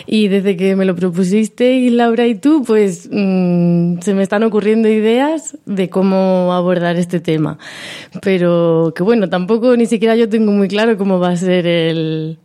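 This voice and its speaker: A woman's voice: medium pitch, measured pace, mellow. A woman hosting a radio segment, speaking in an informal, unforced way. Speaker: segment host and writer. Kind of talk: radio talk and satirical scripted narration.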